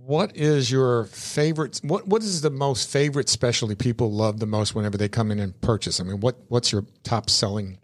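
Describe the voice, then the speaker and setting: A man hosting a small local podcast, talking in a friendly, rambling way and doubling back on his words. His voice sits at a middling pitch and he chats easily with his guest. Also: fairly quick, few pauses. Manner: chatty, folksy, repeats words while thinking. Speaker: podcast host. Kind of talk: local business interview. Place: podcast studio inside a shopping mall.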